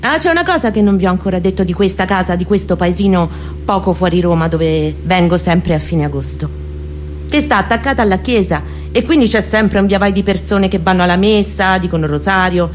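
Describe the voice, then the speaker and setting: A woman with a Roman accent performing a dramatic personal monologue, expressive and intimate, with deliberate phrasing. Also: on the low side, a little hiss or hum. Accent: central Italian, Roman. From near Rome, Italy. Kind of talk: dramatic autobiographical storytelling monologue.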